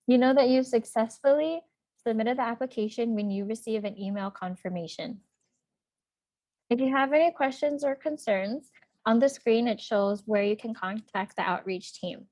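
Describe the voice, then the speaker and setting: A woman's voice: a little high, normal speed, a little mellow. A woman presenting for a college outreach office, narrating a scripted tutorial with plain step-by-step instructions.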